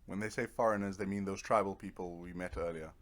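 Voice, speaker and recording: Low-voiced man doing casual game commentary. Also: steady tone, fast talker. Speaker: gaming video host.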